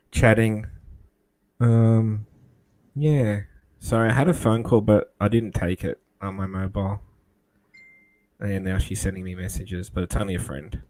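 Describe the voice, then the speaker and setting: Man, stream host, relaxed, hesitant, casual talk with fillers. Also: fairly low pitch, poor audio. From New South Wales, Australia. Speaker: livestream host. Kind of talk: casual chat.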